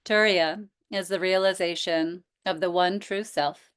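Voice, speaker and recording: A woman teaching yoga nidra, guiding relaxation in a gentle, inviting manner with pauses. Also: lowish voice, steady tone, clear, resonant tone. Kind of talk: guided relaxation instruction.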